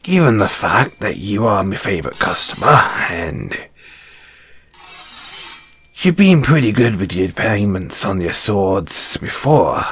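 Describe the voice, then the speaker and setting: Over a noisy home recording comes a man voicing a hearty old-adventurer dwarf blacksmith from a fantasy audio roleplay. His voice sits on the low side and moves at a very unhurried pace. He is gruff but kind, with a folksy, loyal, avuncular manner. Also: very animated, a bit bright, full character voice. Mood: friendly, bargaining. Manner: hesitant, folksy, avuncular, addresses listener as lad. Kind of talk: fantasy audio roleplay acting, second-person dialogue.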